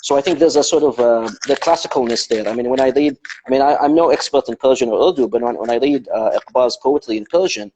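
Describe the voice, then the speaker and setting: Man, interviewer, mid-pitch voice, measured and reflective, faint noise behind. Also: dark tone, poor audio. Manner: thoughtful, measured, many fillers. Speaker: host. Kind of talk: conversational interview.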